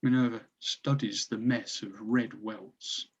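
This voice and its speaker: Male narrator for a table read, scene directions, average pitch, slightly dull recording. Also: steady tone, takes it slowly, breathy edge. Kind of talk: scripted narration of a screenplay.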